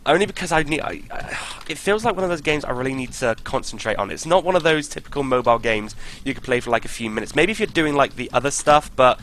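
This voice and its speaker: A man from the UK, a podcast guest, chatting casually and rambling with enthusiasm in a voice slightly croaky from illness. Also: medium pitch, expressive, quick pace, hardly any pauses, fairly bright tone, slight background noise. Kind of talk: casual chat.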